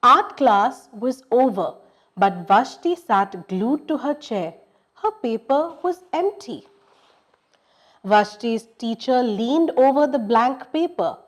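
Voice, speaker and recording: A woman's voice: on the high side, expressive, takes it slowly. A woman hosting a children's storytime, reading aloud expressively and explaining things for young listeners. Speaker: storytime host and narrator.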